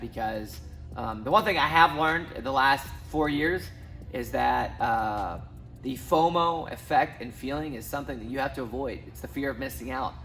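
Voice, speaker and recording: A man's voice: mid-range voice, fairly bright tone. A man in his thirties from Southwest Missouri, talking casually to camera as a finance YouTuber, rambling with many ums and restarts. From Southwest Missouri, USA. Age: 30s. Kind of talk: casual talking-head vlog.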